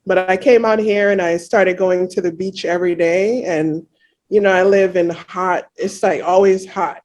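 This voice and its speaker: A woman's voice: fairly low pitch, fairly even intonation, moderate pace, a little mellow. A woman in her thirties from Jamaica, a guest in a casual interview, talking reflectively with many "you know" fillers.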